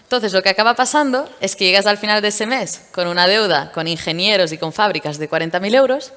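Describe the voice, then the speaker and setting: A woman's voice: mid-range voice, fast talker, a little hiss or hum. Candid Portuguese woman founder in a reverberant hall. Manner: candid, lively storytelling with fillers. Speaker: startup founder. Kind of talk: stage interview at a tech event. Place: event stage.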